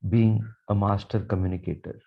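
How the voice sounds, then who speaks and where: A man guiding a meditation with affirmations in a slow, calm, soothing delivery with long pauses. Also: fairly low pitch, gravelly. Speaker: meditation guide, Reiki teacher. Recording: dull, muffled lo-fi sound.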